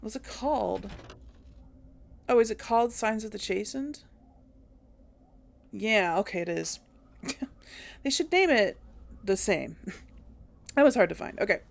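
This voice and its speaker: Young woman rambling thru her game, bit of vocal fry, keeps catching her own slips. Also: medium pitch, lively intonation, unhurried, pauses often. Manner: chatty, thinks aloud, corrects herself. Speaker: let's play host. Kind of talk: casual gameplay commentary.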